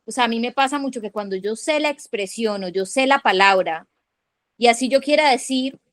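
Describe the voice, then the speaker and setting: Chatty woman co-host, slightly high voice. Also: no room sound, clean studio sound. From Latin America. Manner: chatty, many fillers like "o sea", quick asides. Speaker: podcast co-host. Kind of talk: casual chat.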